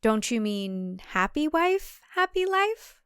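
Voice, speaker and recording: A woman's voice: fairly high pitch, sing-song, slow, fairly bright tone, clear, resonant tone, no echo, full character voice. A woman voicing a wry, uneasy city woman in audio fiction, dry and politely skeptical. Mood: teasing.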